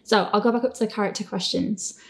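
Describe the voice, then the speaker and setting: A woman hosting a casual, giggly chat, animated and often acting out imagined reactions. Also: medium pitch, fast talker, gravelly. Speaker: host and podcast creator answering listener questions.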